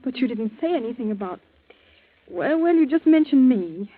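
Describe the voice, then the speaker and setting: Worried but determined young girl, highish breathy voice, 1940s radio mystery, muffled old sound. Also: expressive, normal speed. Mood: anxious. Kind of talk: 1940s radio drama acting. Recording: muffled narrow-band 1940s radio recording.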